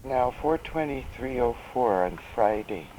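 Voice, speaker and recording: A man's voice: medium pitch, animated, unhurried. A man making casual spoken notes on a very noisy home cassette recording.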